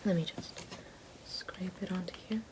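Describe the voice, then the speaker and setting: A woman playing a haughty, fallen evil queen and potion-maker in a breathy, mostly whispered ASMR roleplay. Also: on the low side, steady tone, natural voice. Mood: calm, ominous. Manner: slow, deliberate, haughty, theatrical. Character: fallen evil queen, sly potion-making sorceress down on her luck.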